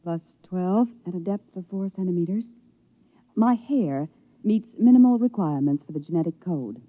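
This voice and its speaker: Cool, low-voiced lady scientist. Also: animated, normal speed, no room sound. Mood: cool, clinical. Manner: clipped, clinical, formal diction. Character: cold, controlled woman genetics professor in a dystopian future. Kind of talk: 1950s radio drama acting. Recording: muffled old radio recording.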